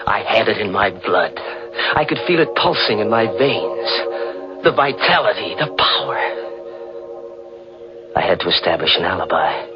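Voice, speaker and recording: A deep-voiced killer, as a man acts him out recounts his crime in feverish, rising narration. The sound is dull and old-time, with music underneath. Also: animated, moderate pace, long pauses, natural voice. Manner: feverish first-person narration, rising to frantic. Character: young man gone mad with immortality, a murderer. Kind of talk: 1940s radio drama acting.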